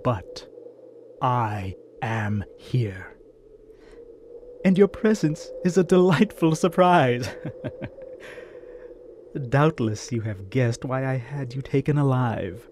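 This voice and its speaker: A man playing a coldly courteous, gloating bandit chief in an audio drama, with florid, formal and measured speech. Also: mid-range voice, expressive, darkish tone, slight background noise. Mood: gloating menace. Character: gloating bandit chief, scarred former slave trader, coldly courteous villain.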